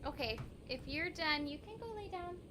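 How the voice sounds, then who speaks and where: A woman's voice: high-pitched, lively intonation, normal speed, background noise. A woman chatting casually and playfully on a livestream as she plays a game, often giggly. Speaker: game streamer, voice actor. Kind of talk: casual livestream chat while playing. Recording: game music behind the voice. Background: video game music.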